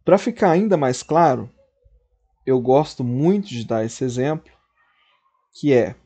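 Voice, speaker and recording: A man teaches logic at a board, with a voice at a middle pitch. He walks through each point step by step. He keeps checking that his students follow him. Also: lively intonation, a little slow, dark tone, breathy edge. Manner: explains step by step, often checks in with "certo?" and "tá?". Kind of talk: recorded logic lesson.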